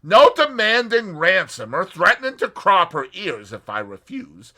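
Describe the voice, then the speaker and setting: Brief: man putting on a pushy American in his thirties, loud and raised, bright and forceful. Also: high-pitched, very animated, unhurried, pitched above the performer's own voice, full character voice. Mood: blunt. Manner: loud, blunt and impatient. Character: brash young American man around thirty-five. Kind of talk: audiobook character voice.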